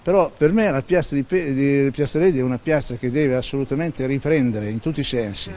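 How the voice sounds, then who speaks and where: A man's voice: medium pitch, brisk, a little mellow. A man, giving blunt opinions as a resident in a street interview. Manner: plain-spoken, opinionated. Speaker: local resident. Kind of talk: street vox pop answer. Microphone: handheld interview mic. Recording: faint background ambience.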